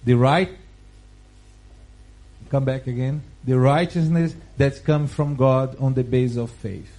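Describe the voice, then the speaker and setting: A man with a Brazilian Portuguese accent, Portuguese his first language, reading scripture aloud and preaching with slow, careful, halting phrasing. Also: mid-range voice, steady tone, dark tone. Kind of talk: Bible reading and sermon.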